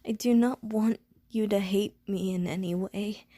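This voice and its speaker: A woman playing a gentle, anxious, lonely Medusa who is wary of humans, speaking in careful, formal wording for an ASMR audio roleplay. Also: medium pitch, steady tone, unhurried, dry recording, studio quality, natural voice. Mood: nervous apology. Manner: formal, careful, stilted wording, pleading and apologetic.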